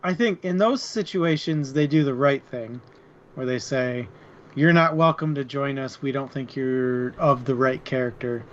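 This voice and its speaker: Slow-talking man on a muffled mic. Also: mid-range voice. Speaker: book club podcast co-host. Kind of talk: casual book discussion.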